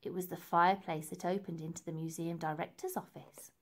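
A woman's voice: on the low side, steady tone, brisk. A woman reading a children's story aloud as narrator, with dramatic, emphatic phrasing. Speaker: storybook reader.

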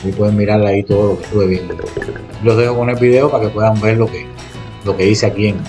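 A man with a slightly low voice rattles through a casual voice-over of his own diving trip, talking quickly to his viewers. He restarts his sentences often and wanders from point to point. Loud music plays under everything he says. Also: fairly even intonation. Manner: rambling, restarts often, addresses viewers directly. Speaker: spearfishing vlogger.

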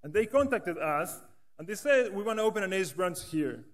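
A man's voice: high-pitched, lively intonation, normal speed. A man, telling a story earnestly in a conference speech at a podium microphone in a large hall. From Latin America. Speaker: rabbi, branch director.

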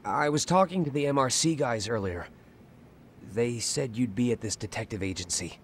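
An actor playing a polite, hesitant teenage schoolboy in a video game. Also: male voice, medium pitch, moderate pace, slight background noise, lightly acted. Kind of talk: video game cutscene dialogue.

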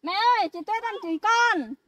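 A woman's voice: very high-pitched, normal speed, crowd noise. A woman playing a cheeky young woman who whines and tattles to her mother. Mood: whiny. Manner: whiny, tattling.

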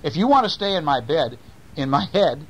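A man's voice: fairly high pitch, sing-song, moderate pace, husky, voice pushed up, heavily acted, poor audio. A man voicing a polite, clever little mouse in a bedtime story. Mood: polite, coaxing. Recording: faint noise, homemade recording.

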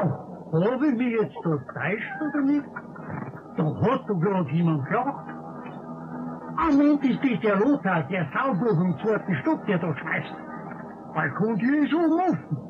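Grumpy, high-voiced Bavarian old caretaker. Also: male voice. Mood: grumbling. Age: older. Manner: grumbling, scolding. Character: grumpy, indignant old male caretaker shovelling snow. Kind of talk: 1960s German radio play acting. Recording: muffled, noisy old radio-play recording.

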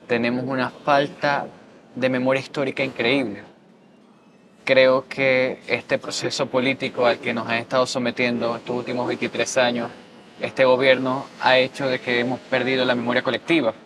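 A man's voice: mid-range voice, level tone, moderate pace, fairly bright tone. A young man from Maracaibo, a student answering interview questions earnestly. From Maracaibo, Venezuela. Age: young adult. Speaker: university student guest. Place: bright room at a dining table. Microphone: lapel mic.

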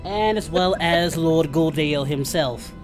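A woman's voice: sits low, measured pace, soft background music. A woman chatting casually as host of a game livestream, with playful, sweary banter. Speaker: streamer host.

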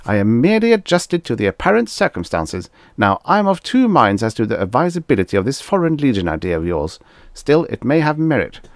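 A man's voice: mid-range voice, very animated, fairly quick, no room sound, studio quality, pitched above the performer's own voice. A man voicing a pompous, easily panicked ambassador, barking and indignant in a science fiction audiobook. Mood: blustering. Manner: barking, indignant, self-important.

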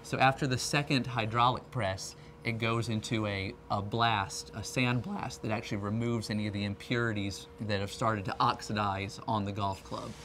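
A man's voice: lowish voice, fairly even intonation, moderate pace, few pauses, background noise. A man in his thirties giving a conversational, explanatory promotional talk as a company presenter. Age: 30s. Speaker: company R&D representative, factory tour presenter. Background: background music.

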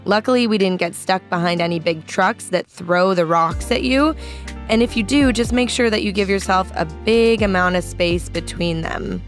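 A woman's voice: mid-range voice, normal speed, soft background music. Young woman vlogging casually. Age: young adult. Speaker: travel vlogger. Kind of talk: casual vlog chat and narration. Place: inside a camper van and outdoors.

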